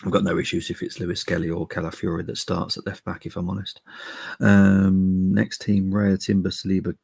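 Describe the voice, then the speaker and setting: A middle-aged man hosting a live football preview stream, speaking quietly while chatting casually with viewers. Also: deep voice, completely flat delivery, a little fast, no room sound, clean studio sound. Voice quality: gravelly. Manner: keeping voice down, casual stats chat with viewers. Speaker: fan channel host.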